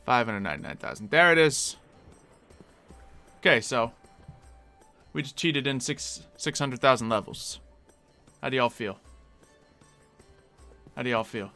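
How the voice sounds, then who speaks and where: A man with a faint Philadelphia accent talks casually to his live-stream audience while he games, thinking aloud and laughing often. His voice sits at an ordinary pitch but sounds bright and forward. Quiet game music runs behind him. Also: expressive. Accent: slight Philadelphia. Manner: chatty, musing aloud, quick to laugh.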